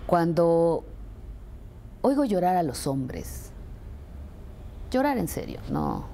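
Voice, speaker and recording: A middle-aged woman with a Mexican accent speaking reflectively in an interview, deliberate and expressive, pausing for emphasis. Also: lowish voice, slight background noise. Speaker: radio presenter. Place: furnished indoor sitting room. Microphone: lapel mic.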